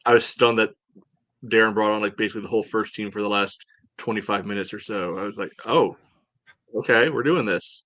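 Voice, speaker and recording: A man's voice: on the low side, brisk, a bit bright, no room sound. A man co-hosting a soccer podcast, chatting through analysis in a loose, conversational way. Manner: conversational, peppered with "you know". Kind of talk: casual sports analysis chat.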